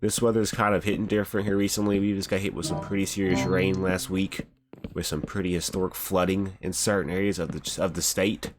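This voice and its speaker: Stuffy-sounding low-voiced young man. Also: fairly even intonation, a little fast. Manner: laid-back, rambling, says "bro" often. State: stuffy from a cold. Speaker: gaming channel host. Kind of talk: casual gameplay commentary. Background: background music.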